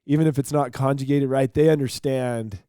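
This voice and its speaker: A man co-hosting a podcast speaks in a mellow, encouraging way, often checking in with a quick question. He sounds dry and close to the mic. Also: medium pitch, steady tone, clean tone, no room sound. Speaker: podcast co-host, former mission president. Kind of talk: conversational interview.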